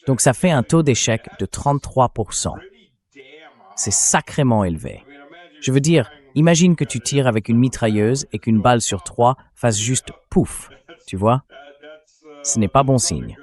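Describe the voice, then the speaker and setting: A man's synthetic voice reading a translated interview as a military commentator, in a steady, explanatory delivery. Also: mid-range voice.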